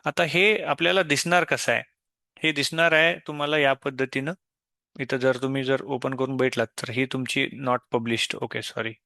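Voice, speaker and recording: A man with an Indian Marathi accent giving an informal, step-by-step software tutorial, explaining as he goes and mixing in English tech terms. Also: mid-range voice, fairly even intonation, moderate pace, vocal fry, no echo, clean studio sound. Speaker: software demo presenter.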